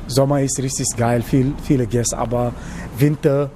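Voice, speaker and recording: A young man from Senegal with a Senegalese accent, answering casually in halting non-native German in a noisy location interview. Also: medium pitch, steady tone, mellow. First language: not German, learned it as an adult. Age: young adult. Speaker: interviewee, trainee cook.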